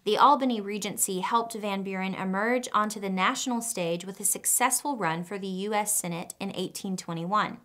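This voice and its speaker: A young woman narrates an educational lesson from a script, in a mid-range voice that stays clear and measured. She sits in a quiet studio, close to the microphone. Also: mid-range voice. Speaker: educational video presenter. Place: studio with a plain wooden backdrop.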